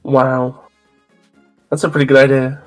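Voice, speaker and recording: A man's voice: mid-range voice, little pitch movement, a little slow, soft background music. A Welsh man streaming a video game, musing aloud in casual chat with stammering restarts. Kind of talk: casual chat while gaming.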